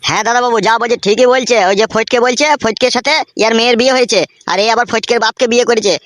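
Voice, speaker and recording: Man as a rapid-fire crude village henchman. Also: extremely high pitch, fairly even intonation, fairly bright tone, dry recording. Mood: knowing, servile. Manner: crude, slangy, dismissive. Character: crude, cocky male village thug, politician's henchman. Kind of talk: comedy cartoon dialogue. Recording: music behind the voice.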